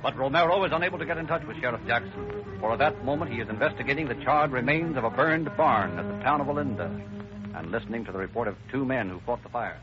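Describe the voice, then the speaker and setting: A man's voice: mid-range voice, expressive, fast, close to an everyday voice. An actor as the narrator of an old-time radio crime drama, formal and urgent in his scripted delivery. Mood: grave, urgent. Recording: dull vintage radio recording. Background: dramatic music.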